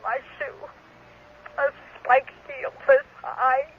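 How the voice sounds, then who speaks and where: An actress playing a desperate, hard-drinking, short-tempered prostitute and mother in a 1970s radio drama, speaking in halting, agitated repetitions. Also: high-pitched, very animated, slight background noise, exaggerated voice. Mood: tearful, frantic. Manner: halting, agitated, repeating herself. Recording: muffled vintage radio recording.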